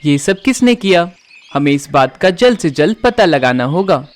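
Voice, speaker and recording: A commanding bird king in a cartoon, played by a man. His voice sits fairly high and rises and falls a lot as he gives orders, sounding authoritative. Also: big pitch swings, moderate pace.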